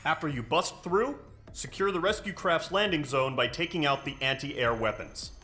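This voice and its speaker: An actor playing a stern, businesslike military officer who delivers a formal, measured mission briefing in a game. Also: male voice, fairly high pitch, bright, forceful tone. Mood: commanding. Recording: music under the voice.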